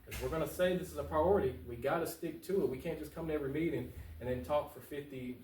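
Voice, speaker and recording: Fast-talking man, echoey room. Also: a little high, a little mellow, a little hiss or hum. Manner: passionate, informal, repeats phrases for emphasis. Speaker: interview guest, local political candidate. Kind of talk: casual, earnest talk-show interview answers. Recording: roomy echo, distant mic.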